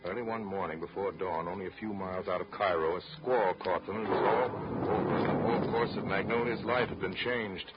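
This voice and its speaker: A man's voice: sits low, fairly even intonation, a little fast, hardly any pauses, loud music behind the voice, natural voice. A man narrating a 1930s radio drama as a grave, reflective storyteller. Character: deep-voiced male storyteller, grave and reflective. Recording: muffled 1930s radio recording.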